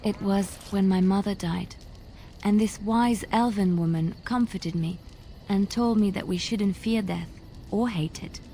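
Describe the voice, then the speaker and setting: An actress playing a gentle, devout bard in a video game, speaking softly, earnestly and reflectively. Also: female voice, mid-range voice, a little slow, a little hiss or hum, lightly acted. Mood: wistful, tender. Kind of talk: dramatic video game dialogue.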